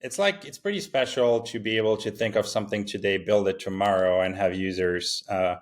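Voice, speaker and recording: A founder from Morocco talking casually in an interview, full of fillers and restarts. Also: male voice, fairly low pitch. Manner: halting, many fillers and restarts. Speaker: startup founder, guest.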